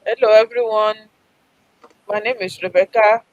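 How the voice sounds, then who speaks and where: A woman with a Nigerian accent briskly introducing herself as a business owner and mentor on a live stream. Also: mid-range voice, fairly even intonation, highs cut off. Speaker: guest mentor.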